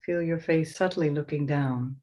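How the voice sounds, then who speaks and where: A woman leading a guided meditation as a teacher, giving slow, soothing instructions with pauses. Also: low-pitched, warm tone.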